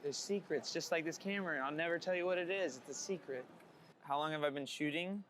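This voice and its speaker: Male street photographer interviewee, slightly high pitch, candid casual chat, light ambient noise. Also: brisk, a bit bright.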